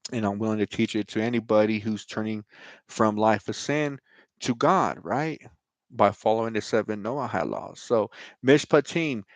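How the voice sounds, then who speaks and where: Host, male, lowish voice, dry close sound, rambling emphatic preaching style. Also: dry recording. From United States. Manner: rambling, emphatic, frequent "right?" and "you know". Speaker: online show host. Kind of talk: informal religious talk to camera.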